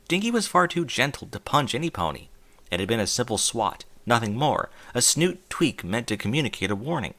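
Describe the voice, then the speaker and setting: A man narrating a fan fiction story as an audiobook, in an expressive storytelling manner. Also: on the low side.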